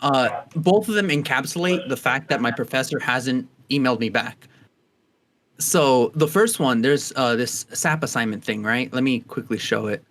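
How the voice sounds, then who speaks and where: A man's voice: medium pitch, moderate pace, a bit bright, slight background noise. A young man in his twenties co-hosting a podcast, chatting casually and rambling through stories with frequent restarts. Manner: rambling, chatty, laughs easily. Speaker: podcast co-host. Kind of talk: casual chat.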